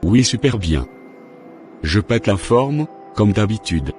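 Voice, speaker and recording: Man acting a low-voiced smug womanising preacher. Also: sing-song, slow, a little mellow. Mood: cheerful, flirty. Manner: boastful, self-satisfied. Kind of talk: amateur cartoon monologue and dialogue. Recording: music behind the voice.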